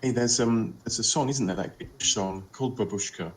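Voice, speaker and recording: A man with a low voice hosts a casual online discussion. His remarks are short and polite, and he steadily moves the conversation from one speaker to the next. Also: brisk. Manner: brief, polite, moves things along. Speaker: podcast host. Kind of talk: moderating a discussion.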